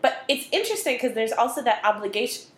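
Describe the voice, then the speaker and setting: A woman's voice: on the high side, sing-song, quick pace. Casting: reflective Midwestern woman host, casual talk, some room echo. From American Midwest. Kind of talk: casual chat. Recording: roomy echo.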